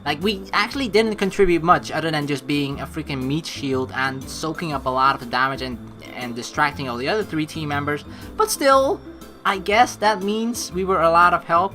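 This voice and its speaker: A man giving casual, rambling gameplay commentary and reading dialogue aloud, excitable, informal and sweary. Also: on the high side, normal speed. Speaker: let's player. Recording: game music behind the voice. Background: game music.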